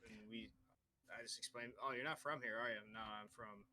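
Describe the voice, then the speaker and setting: A man's voice: medium pitch, steady tone, normal speed, bright, forceful tone. A young man from Massachusetts co-hosting a podcast, telling easygoing stories and acting out bits of dialogue. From Massachusetts, USA. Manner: easygoing storyteller, acts out dialogue. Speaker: podcast co-host. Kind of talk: casual chat. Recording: slightly tinny mic with faint noise.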